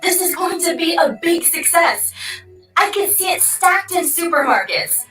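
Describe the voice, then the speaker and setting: Insistent female entrepreneur, high voice, urgent, excited, audio drama, distant with faint music. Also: unhurried, echoey room. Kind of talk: business audio drama acting.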